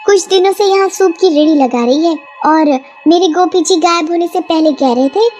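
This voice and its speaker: Woman playing a high-pitched village wife. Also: normal speed, darkish tone, soft background music. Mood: chatty, informative. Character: doubtful village wife, chatty and sisterly.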